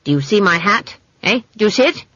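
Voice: medium pitch, lively intonation, fairly quick, sharp tone, no room sound, highs cut off, voice pushed up. Narrator acting a snappy, imperious old witch. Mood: indignant. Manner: snapping, commanding, ringing. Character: bad-tempered, indignant, imperious old witch.

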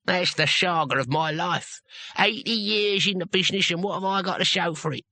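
A man's voice: high voice, sharp tone, no room sound, voice pushed up. A man doing a gummy ancient barbarian. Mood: grumbling. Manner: slow, moody, self-pitying drawl. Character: ancient toothless male barbarian hero, weary, grumbling.

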